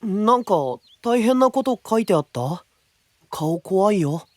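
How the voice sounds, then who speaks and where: Lively, earnest teenage boy knight as acted. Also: medium pitch, unhurried, dry recording, lightly acted. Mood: curious. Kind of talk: anime-style voice drama acting.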